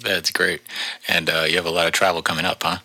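A man hosting a cocktail podcast from his home studio, relaxed and full of "uh" fillers. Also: sits low, fast, no room sound. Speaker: podcast host.

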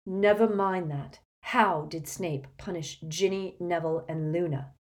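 A woman's voice: lowish voice, expressive, moderate pace, dark tone, pitched above the performer's own voice, close to an everyday voice. A woman voicing a direct, urgent teenage boy wizard in an audiobook. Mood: blunt, urgent. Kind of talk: audiobook character acting.

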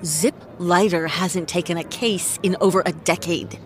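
An actress playing a cocky, quick-witted detective in a comic noir audio drama, theatrical, wry and self-satisfied. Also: female voice, lowish voice, natural voice. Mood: wry, assured.

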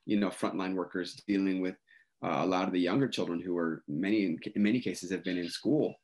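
A man co-hosting a podcast, talking in a measured way and hedging with "you know" and "I think". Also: sits low, fairly even intonation, a little mellow. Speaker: podcast co-host.

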